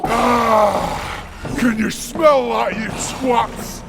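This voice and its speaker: A vain frog lord speaks very high and slowly, savouring each word with pompous relish. It is an audio drama villain with a creaky edge, over loud noise. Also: male voice, fairly even intonation, heavily acted. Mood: pompous, pleased. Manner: pompous, theatrical, drawn-out.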